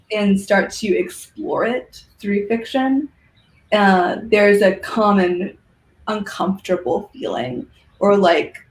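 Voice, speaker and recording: Echoey, thoughtful guest in casual chat. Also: mid-range voice. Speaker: guest, organizer of a fiction podcast and symposium. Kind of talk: casual conversational interview. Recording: roomy, echoey sound.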